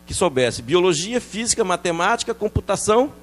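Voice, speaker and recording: A middle-aged man from France, a researcher explaining his work in a TV interview, quick and animated, with many restarts and fillers. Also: sits high. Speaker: researcher guest.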